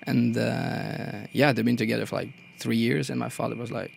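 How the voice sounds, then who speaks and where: A man's voice: medium pitch, fairly even intonation, normal speed, creaky, slight background noise. A man in his twenties from Brazil, a guest chatting casually on a podcast in a radio studio, laughing often and filling his talk with casual asides. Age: 20s. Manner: easygoing, laughs often, many fillers like "you know".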